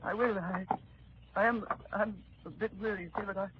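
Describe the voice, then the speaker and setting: An actor playing a frail, weary old prisoner who stammers, in 1930s radio drama style, on a dull old broadcast. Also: male voice, high-pitched, steady tone, moderate pace, heavy background noise, lightly acted. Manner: hesitant, stammering.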